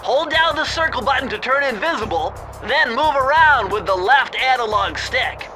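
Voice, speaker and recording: A man voicing a nerdy young turtle tech genius in an animated game, bookish, precise and enthusiastic, with a nasal voice. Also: extremely high pitch, moderate pace. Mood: eager, instructive. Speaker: mission guide to the hero. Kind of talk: animated video game cutscene acting. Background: game music.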